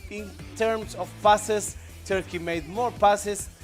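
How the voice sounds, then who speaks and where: A man from Argentina with an Argentine Spanish accent, co-commentating live esports in halting, enthusiastic English. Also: high voice, steady tone, faint music behind.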